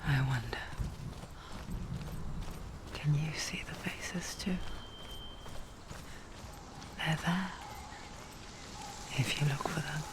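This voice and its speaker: A woman playing an intimate, knowing narrator in a dark fantasy game, speaking in a breathy, confiding whisper. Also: low voice, background noise. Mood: hushed, wistful. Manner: slow, hushed, confiding, addressing the listener. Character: soft-spoken female narrator voice, intimate and eerily knowing. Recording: music behind the voice. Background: music.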